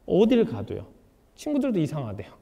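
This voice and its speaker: Man, author lecture, lively pitch, mellow tone, reverberant hall. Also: a little fast, gravelly. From South Korea. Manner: lively, asks the audience rhetorical questions. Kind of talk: public lecture to an audience.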